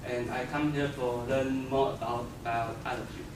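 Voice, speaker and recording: A man's voice: medium pitch, steady tone, normal speed. A young man with a Taiwanese accent introducing himself to a group as a student. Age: young adult. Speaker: PhD student. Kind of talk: self-introduction. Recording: noisy, echoey room, far from mic.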